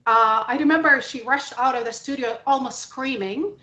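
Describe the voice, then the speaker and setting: A woman speaks in a fairly high voice with emphatic, deliberate delivery, like a journalist testifying on a panel. She is from the Middle East. The room around her is clearly echoey. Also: pushed and bright. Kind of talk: panel testimony, impassioned political talk.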